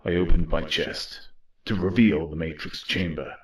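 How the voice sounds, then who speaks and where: An actor playing a weary, solemn robot war leader in an audio drama, speaking in a grave, measured way. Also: male voice, deep voice, fairly even intonation, slightly rough. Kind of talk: audio drama dialogue and first-person narration.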